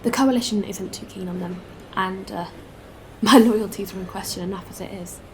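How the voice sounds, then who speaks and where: A woman's voice: mid-range voice, normal speed, slight background noise, natural voice. A woman playing a candid, friendly spacefarer in a sci-fi audio drama, talking in a casual, conversational way. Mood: wary. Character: candid, weary but friendly female spacefarer.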